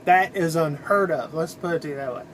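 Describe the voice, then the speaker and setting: A middle-aged American man, a truck driver, rambling casually in a halting, conversational way to his vlog. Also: a little high, a bit bright, slight background noise.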